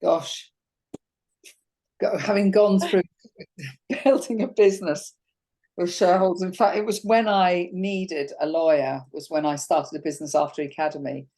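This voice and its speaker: This is a woman hosting an interview, her voice croaky today. She speaks very low, with a dark, mellow tone. She shares her own story openly and stumbles over restarts as she goes. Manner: candid and personal, with halting restarts. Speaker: host. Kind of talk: conversational interview.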